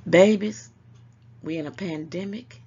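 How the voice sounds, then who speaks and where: A woman's voice: on the low side, unhurried, darkish tone, breathy edge, muffled recording. An older woman hosting an online talk show, scolding and preaching to her viewers and calling them baby, at times a little congested. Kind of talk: casual commentary to viewers.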